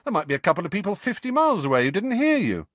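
A man's voice: high-pitched, huge pitch swings, racing, fairly bright tone, no room sound, pitched above the performer's own voice, exaggerated voice. A man voicing a cheeky, beaming teenage prankster twin in a storybook, breezy and teasing. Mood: cheerful teasing.

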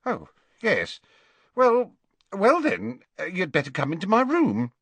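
Bloke doing frazzled matron lady from a storybook, anxious and blunt, highish voice that jumps around. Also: huge pitch swings, normal speed, a bit bright, no echo, heavily acted. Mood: flustered, feeble. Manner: flustered, blunt. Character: anxious, harassed skinny orphanage matron, a woman.

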